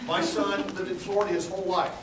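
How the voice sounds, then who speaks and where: A man's voice: sits high, level tone, fast, background noise. A man from Texas giving a casual, joking talk to a crowd as an aquarium chief, often asking the audience questions. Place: large indoor room with an audience. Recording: echoey hall, mic from far off.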